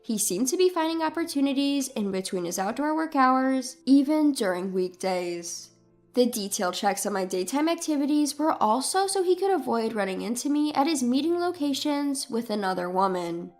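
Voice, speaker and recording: A woman's voice: sits high, big pitch swings, moderate pace, a little mellow, soft background music. A woman reading a scripted first-person dramatic story expressively and with care, as its narrator.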